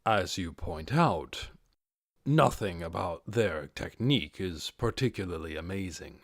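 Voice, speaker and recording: A man voicing a composed, brooding undead overlord in a measured, commanding manner for a fantasy audiobook. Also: low voice, expressive, dry recording, clean studio sound, pitched below the performer's own voice, heavily acted.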